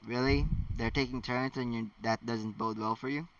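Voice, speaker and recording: A man's voice: medium pitch, steady tone, normal speed, distant mic, highs cut off. A man hosting a casual story read-aloud, chatty and joking, with rambling asides. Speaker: host of a fan fiction reading channel.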